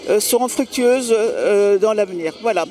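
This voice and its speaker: A woman attending a conference, speaking hesitantly to a camera and filling pauses often. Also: medium pitch, mellow. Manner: hesitant, with many fillers. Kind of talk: spontaneous remarks to a camera.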